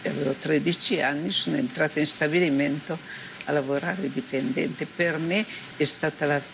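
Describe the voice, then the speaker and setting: A woman's voice: deep voice, steady tone, moderate pace, vocal fry. An older woman from Italy recalling her working life in a plain-spoken, emphatic way, as oral history. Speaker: former factory worker giving testimony. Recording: faint background noise.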